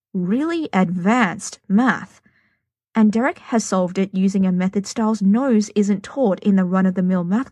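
A woman's voice: mid-range voice, darkish tone, no echo. A woman reading a story aloud as scripted narration, as a fan fiction audio narrator.

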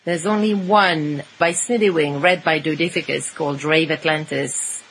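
A woman's voice: deep voice, normal speed, fairly bright tone, a little hiss or hum, poor audio. A woman chatting casually as a co-host on a podcast. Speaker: podcast co-host discussing fan fiction. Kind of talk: casual chat.

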